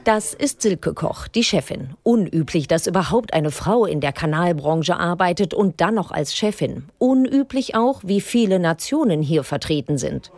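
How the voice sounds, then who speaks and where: A woman reporter reads scripted narration in a measured, clear way. Her voice sits a little low. It is close and dry, as from a studio booth. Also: expressive, a little mellow, no echo. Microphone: studio voice-over.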